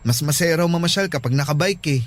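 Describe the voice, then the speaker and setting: A high-pitched young male student, played by a man, easygoing and earnest, with a Filipino accent. He speaks casually like a suitor in a radio love drama, over faint music. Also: fast talker, lightly acted. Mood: friendly.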